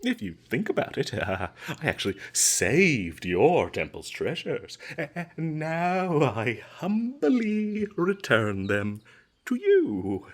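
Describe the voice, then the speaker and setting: A man playing a smooth-talking captured adventurer in a comedic fantasy audio roleplay, stammering and flattering with flowery politeness. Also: on the high side, slow, exaggerated voice. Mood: sheepish excuses. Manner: hesitant, stammering, ingratiating, flowery and polite phrasing.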